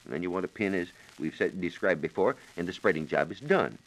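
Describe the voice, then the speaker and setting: Man, low voice, highly varied intonation, precise formal explanations, 1940s radio science reporter, vintage broadcast recording. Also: animated, a little fast, poor audio. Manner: precise, methodical, formal phrasing.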